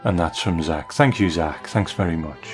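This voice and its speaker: A man's voice: deep voice, normal speed, over loud music. A man hosting a podcast, reading listener feedback aloud.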